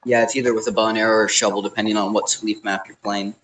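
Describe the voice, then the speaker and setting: A man's voice: lowish voice, flat delivery, fast talker, a bit bright, slight background noise. A young man co-hosting a podcast, explaining things in a matter-of-fact, conversational way. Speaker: podcast co-host. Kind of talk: casual chat, explaining.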